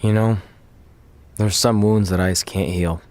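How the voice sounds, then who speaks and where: A man's voice: on the low side, fairly even intonation, measured pace, a little mellow, close to an everyday voice. An actor playing a young male wrestler, a wry and hurt best friend, in conversational video game cutscene dialogue. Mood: wry, weary.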